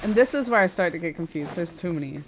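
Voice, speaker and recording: Low-voiced woman streaming a game. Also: lively intonation, fast, background noise. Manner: rambling, thinking aloud. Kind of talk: casual livestream gameplay chat. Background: game music.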